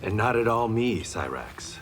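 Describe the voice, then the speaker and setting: A man's voice: lowish voice, very animated, a little slow. A man playing a wry, confident swordsman in a video game, casual in his delivery. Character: wry, blind male ex-yakuza swordsman. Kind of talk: video game character dialogue. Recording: loud noise and clicks behind.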